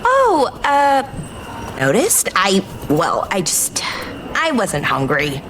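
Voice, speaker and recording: An actress playing a sweet, awkward fantasy companion in a video game, halting and easily flustered. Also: female voice, medium pitch, huge pitch swings, noisy background. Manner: halting, hesitant. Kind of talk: game cutscene acting. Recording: loud game music underneath.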